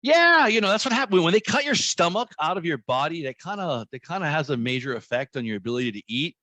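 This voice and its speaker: A man's voice: a little high, lively intonation, quick pace, sharp tone, no room sound. A middle-aged man from Hawaii, a guest comedian in casual podcast chat, joking in a dry, self-mocking way over a video call.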